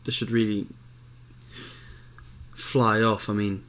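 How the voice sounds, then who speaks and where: A man's voice: lowish voice, level tone, slow pace, fairly bright tone. A man chatting informally as co-host of a podcast. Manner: informal, conversational. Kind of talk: casual chat.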